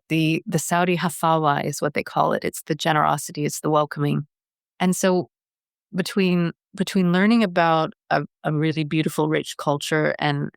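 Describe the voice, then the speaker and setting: A woman's voice: low voice, vocal fry, no room sound, clean studio sound. A middle-aged woman from Canada, a guest in an interview, talking earnestly and often restarting her sentences. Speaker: guest, wellness strategy director. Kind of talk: conversational interview.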